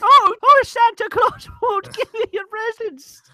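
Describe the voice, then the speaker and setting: A woman's voice: very high voice, measured pace, bright, forceful tone, dry recording, studio quality, full character voice. A woman playing a fussy housekeeper in a comic old-time radio drama, theatrically announcing and herding children. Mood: excited, hushing. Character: fussy English housekeeper Mrs Hudson, herding excited children.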